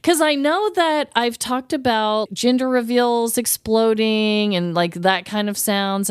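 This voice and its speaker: A woman's voice: mid-range voice, clear, resonant tone, no echo, clean studio sound. An American woman co-hosting a podcast, moving between casual banter and scripted comedic storytelling. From United States. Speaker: podcast co-host.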